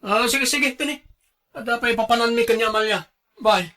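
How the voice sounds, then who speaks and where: A high, forceful voice cuts through: a man acting a callous husband in an Ilocano radio drama. He is irritable and dismissive, with an Ilocano accent. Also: normal speed. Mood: irritated, dismissive. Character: callous, irritable runaway husband who brushes off his wife.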